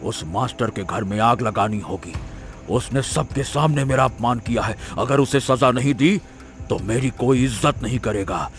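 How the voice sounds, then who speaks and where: A menacing cartoon village strongman acted by a man, with a mid-pitched voice. He is arrogant, vengeful and domineering, with music playing behind him. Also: moderate pace, close to an everyday voice. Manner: threatening, domineering. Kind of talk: Hindi cartoon moral-story voice acting. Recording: background music under the voice.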